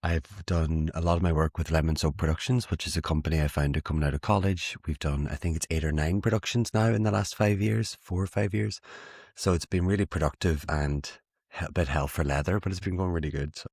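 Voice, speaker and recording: A young Irish man in his twenties, chatting in an interview as a theatre maker, self-mocking and quick to laugh. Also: very deep voice, fairly even intonation, darkish tone, husky, no room sound, clean studio sound. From Ireland. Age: 20s. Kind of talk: casual interview chat.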